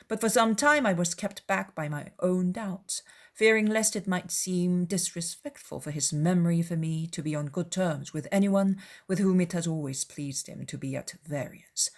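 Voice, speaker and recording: A self-important young clergyman as a woman reader puts him on, reciting a formal letter in a dramatized audiobook. He is pompous and obsequious, with deliberate, ornate phrasing. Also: on the low side, lightly acted.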